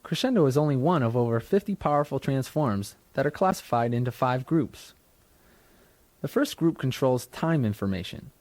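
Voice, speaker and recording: A man with a mid-pitched voice narrates a scripted software tutorial. He explains each step in a measured, orderly way. The sound is very dry, like a studio read for an instructional tape. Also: animated, dry recording.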